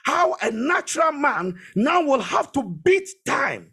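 A man's voice: extremely high pitch, very animated, unhurried, bright, forceful tone. A man preaching a sermon as a preacher, emphatic and exhortatory, repeating phrases to drive them home.

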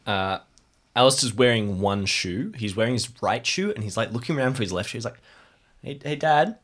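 A man's voice: on the low side, animated, brisk, fairly bright tone. A man casually narrating his character's actions as a player in an improvised audio drama.

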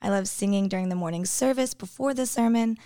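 A woman's voice: medium pitch, brisk, mellow, no room sound, studio quality. A woman who is an English teacher, giving an earnest personal devotional reflection.

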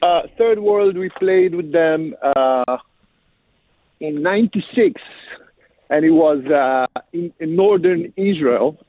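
A man born in Africa, a singer and producer, answering radio interview questions by phone with many pauses and fillers. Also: fairly high pitch, no echo. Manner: halting, many fillers, slow and careful. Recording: tinny phone line.

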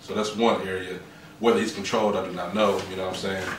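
A man's voice: low-pitched, flat delivery, fast talker, noisy background. A man hosting a podcast, talking through his opinions in a rambling way and often asking "you know what I'm saying". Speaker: podcast host. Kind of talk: casual opinionated chat. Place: podcast room. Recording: roomy room from a distance.

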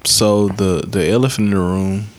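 A man in his late twenties hosting a podcast, talking in a relaxed, profane, joking way. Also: lowish voice, moderate pace. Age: late 20s. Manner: laid-back, profane, joking. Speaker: podcast host. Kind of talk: casual chat.

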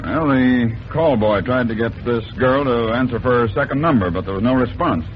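A discreet, nervous male theater manager in a vintage radio drama, voiced by an actor. He speaks formally and hesitantly at a middle pitch. The sound is muffled, with some noise. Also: normal speed, lightly acted. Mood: grave, explanatory. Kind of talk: old-time radio crime drama acting. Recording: dull, noisy vintage radio recording.

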